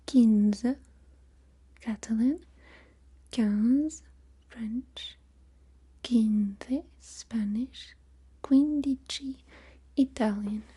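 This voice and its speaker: A woman softly whispering a language lesson in ASMR style, listing words one by one. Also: fairly high pitch, very slow, pauses often, breathy edge. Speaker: language teacher.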